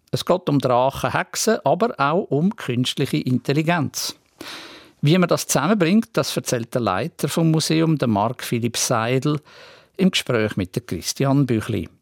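A man's voice: mid-range voice, vocal fry, no room sound, clean studio sound. A man with a Swiss German accent presenting regional radio news from a script.

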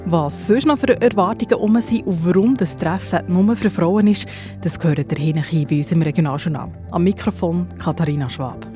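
A woman's voice: fairly low pitch, normal speed, music in the background. A woman with a Swiss German accent presenting regional radio news in a scripted presenter's style.

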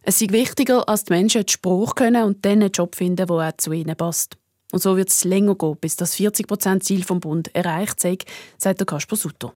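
A woman's voice: medium pitch, brisk, mellow, no echo, clean studio sound. A woman with a Swiss German accent reading a scripted radio news report.

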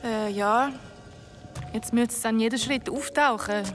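Woman as a frightened high-voiced young ghost girl. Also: music in the background, lightly acted. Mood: uneasy. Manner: breathless, halting, repeating herself. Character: frightened young female ghost, bravado cracking into panic. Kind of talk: radio play acting.

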